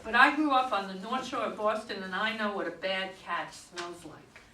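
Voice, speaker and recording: A woman's voice: medium pitch, normal speed, bright, forceful tone, lightly acted. A woman in a stage play reading, playing a persistent, no-nonsense police detective with firm questioning. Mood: scornful. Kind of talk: stage play read aloud by readers' theater. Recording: echoey room, distant mic, faint noise.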